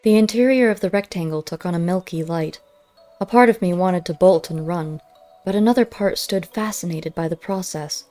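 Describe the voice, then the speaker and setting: A woman reads a first-person story in a fairly low voice with a very soft, dark tone. Her delivery is measured and literary, as an audiobook narrator. Quiet music runs behind her.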